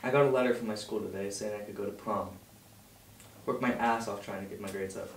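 A man's voice: on the low side, steady tone, fast. A young man reading his part at a table read and chatting casually. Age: young adult. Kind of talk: script read-aloud and casual chat. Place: home dining room. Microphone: far camera. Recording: distant mic, echoey noisy room.

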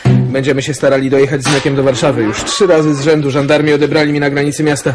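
An actor playing a chatty, teasing rural milk carter with a folksy manner in a radio drama. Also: male voice, medium pitch, flat delivery, fast talker, music in the background, natural voice. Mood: folksy banter. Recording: noisy radio play recording.

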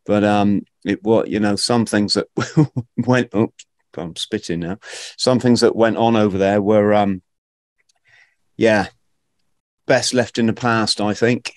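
Male podcast host, middle-aged, lowish, jokey and relaxed, dry close studio mic. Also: slow pace, dry recording. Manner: laughing, jokey asides, relaxed banter. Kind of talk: casual interview chat. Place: home studio. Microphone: studio mic with headphones.

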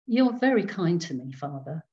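A man's voice: high voice, big pitch swings, moderate pace, a little mellow, muffled recording. A woman voicing a frightened, haunted man in a storybook reading, speaking plainly and awkwardly. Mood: grateful. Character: shaken, haunted Englishman, frightened guest.

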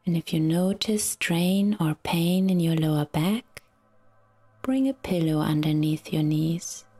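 Soft breathy lady doing guided meditation, lowish voice, half whispered, lots of pauses, music behind. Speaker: yoga nidra guide.

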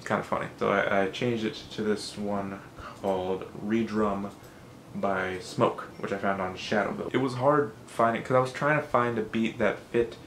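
Low, monotone young man vlogging. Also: moderate pace, echoey room, slight background noise. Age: young adult. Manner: casual, self-critical, swears often. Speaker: rap battle creator. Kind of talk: casual behind-the-scenes vlog. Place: small bedroom. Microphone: studio condenser mic.

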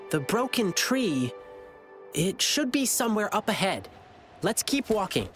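Earnest, lively young boy. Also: medium pitch, a little slow, soft background music. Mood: earnest, alert. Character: earnest, brave, alert young boy adventurer. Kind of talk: video game voice acting.

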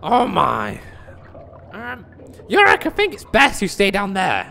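A sharp, crackly little gnome, greedy and mocking, in a comic fantasy audio play. He swears crudely in a forceful voice. It sounds echoey and a little noisy. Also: sits high, huge pitch swings, takes it slowly, creaky, heavily acted. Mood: sarcastic. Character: foul-mouthed, greedy, scheming little male gnome. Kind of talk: comedic fantasy audio drama. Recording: echoey reverberant room with some noise.

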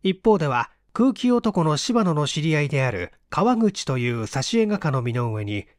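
High-voiced man narrating fiction, very dry sound. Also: sing-song, normal speed, dry recording. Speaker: audiobook reader. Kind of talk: scripted dramatic narration of fiction.

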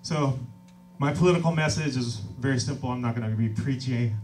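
A man in his thirties talking casually and warmly between songs at a small club gig, relaxed and self-deprecating, laughing often. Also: medium pitch, fairly quick. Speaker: singer-songwriter and label owner. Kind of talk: stage banter between songs. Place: small crowded club venue, indoors. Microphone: stage vocal mic on stand, recorded from audience. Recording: distant live room recording. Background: acoustic guitar, audience.

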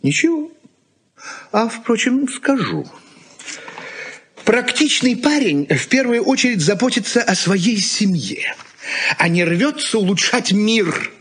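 A man's voice: high-pitched, expressive, slow, long pauses, fairly bright tone, slightly rough, exaggerated voice. An actor playing an irritable, practical middle-aged husband and father in a Soviet radio play, lecturing in a condescending way. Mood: brusque, lecturing. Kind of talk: Soviet radio drama acting.

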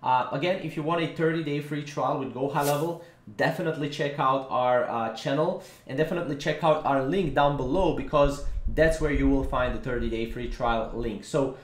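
A man hosting a casual step-by-step software tutorial, explaining in a repetitive way with frequent filler words. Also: mid-range voice, moderate pace, few pauses. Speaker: tutorial host. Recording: roomy, echoing voice-over.

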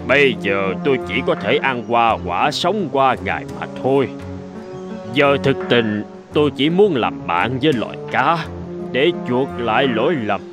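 A man's voice: mid-range voice, big pitch swings, a little slow, over music. A man voicing an old, ailing, remorseful male sea hawk in a cartoon fable, weary and plaintive. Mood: humble, remorseful. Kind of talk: animated fable voice acting.

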